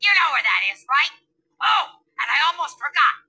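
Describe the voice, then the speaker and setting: A woman voicing a grumpy, lovesick older school lunch lady in a video game, brash and coarse, with a loud, nasal, cackling voice. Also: high-pitched, lively intonation, normal speed, full character voice. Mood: scheming. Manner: brash, coarse, swooning over a teacher. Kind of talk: video game cutscene acting.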